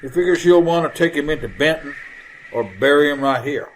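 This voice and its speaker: A man's voice: fairly high pitch, brisk, background noise, close to an everyday voice. A man playing a greedy, scheming frontier outlaw with rustic, folksy, drawling speech in an old-time radio western. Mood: sly, wary. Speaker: actor in supporting role.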